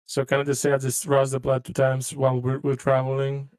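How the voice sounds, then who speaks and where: A man's voice: medium pitch, monotone, fairly quick, darkish tone. A man playing a tabletop roleplaying game, talking casually as a player. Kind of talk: casual rules questions during play.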